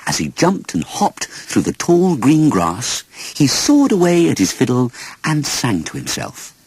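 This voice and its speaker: Very animated male storyteller. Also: medium pitch, measured pace, low-quality recording. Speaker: audiobook narrator. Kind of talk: expressive children's storybook narration.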